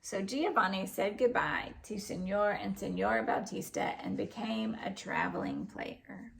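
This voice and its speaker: A woman reading a children's story aloud in an expressive narrating style. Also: medium pitch, a little slow, vocal fry. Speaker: storybook reader.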